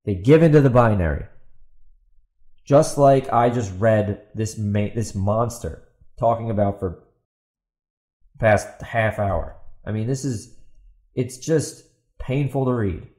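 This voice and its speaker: A man's voice: lowish voice, takes it slowly, long pauses, a little mellow. A man hosting a podcast, ranting off the cuff in an exasperated, sarcastic way with emphatic repetition and rhetorical questions. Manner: rhetorical questions put to an absent opponent. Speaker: podcast host and author. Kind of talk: ranting opinion monologue, off the cuff.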